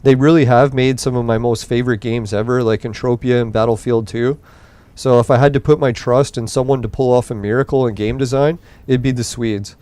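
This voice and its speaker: A young man with a smooth, clear voice and a tiring edge, rambling and cursing casually as he hosts a gaming show late at night. His sound is dry and close, with no room around it. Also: mid-range voice, fairly even intonation, brisk, dark tone, no echo. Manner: rambling, profane, laid-back. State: voice getting tired late at night. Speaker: gaming podcast host. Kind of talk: casual gameplay commentary.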